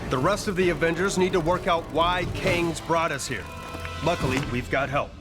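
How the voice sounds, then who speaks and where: An actor playing an earnest, urgent superhero team leader in a video game. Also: male voice, on the high side, normal speed, over music, close to an everyday voice. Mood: serious.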